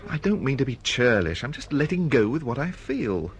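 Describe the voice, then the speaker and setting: A man's voice: mid-range voice, big pitch swings, moderate pace, natural voice. An actor playing an insecure, self-pitying middle-aged male writer in a naturalistic radio drama. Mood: conciliatory.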